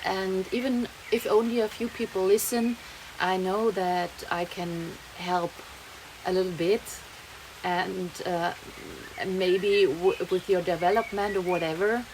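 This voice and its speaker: A woman's voice: medium pitch, steady tone, unhurried, a little mellow. A young woman with a German accent, rambling in a casual vlog monologue and slipping German words into her English. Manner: rambling, chatty, laughs often, slips German words in. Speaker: vlogger. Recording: noisy background, rain heard.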